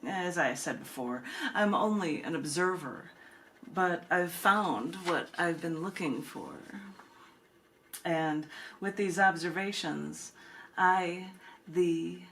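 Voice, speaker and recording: A woman hosting a poetry reading, speaking expressively and deliberately, with casual asides. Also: on the low side, fairly bright tone. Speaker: poet and reading host. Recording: clearly noisy room recording.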